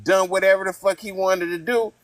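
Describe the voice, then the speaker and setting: A man's voice: high-pitched, fairly even intonation, fairly quick, dry recording. Fifties guy from nor cal calling in, blunt and cussing, dry no-room sound. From Northern California. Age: 50s. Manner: blunt, profane, heated storyteller. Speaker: live-stream caller. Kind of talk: casual podcast chat.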